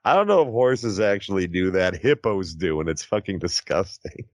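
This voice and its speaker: A man's voice: low-pitched, animated, measured pace, no echo, clean studio sound. A man co-hosting a podcast in casual comedic chat, with crude, wry riffing. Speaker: podcast co-host.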